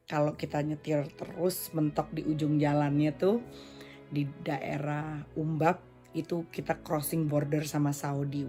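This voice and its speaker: A woman's voice: low voice, creaky, mellow. A woman hosting a casual solo podcast, chatting conversationally and mixing in English phrases. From Indonesia. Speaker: podcast and vlog host.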